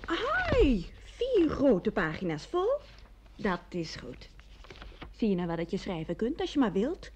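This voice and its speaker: A woman playing a brisk, amused but exacting aunt in an old radio comedy. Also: medium pitch, huge pitch swings, a little mellow. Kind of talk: mid-century Dutch radio comedy acting. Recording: old radio play with faint noise.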